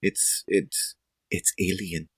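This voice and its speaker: A man with a fairly low voice tells his part of a tabletop role-playing game. He speaks casually and haltingly, making it up as he goes. The sound is dry, with little room in it. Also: level tone, husky, no room sound. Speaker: tabletop game player and storyteller. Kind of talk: casual improvised role-play narration.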